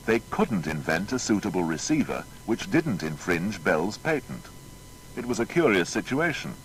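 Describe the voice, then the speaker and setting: A man narrating a scripted documentary biography in a formal, storytelling manner. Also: poor audio. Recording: faint noise with low rumble.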